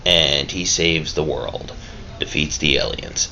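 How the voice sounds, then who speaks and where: A man talking casually and haltingly in a laid-back, rambling vlog review. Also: a bass voice, steady tone, sharp tone. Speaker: vlogger reviewing a film. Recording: dull lo-fi mic with clear background noise.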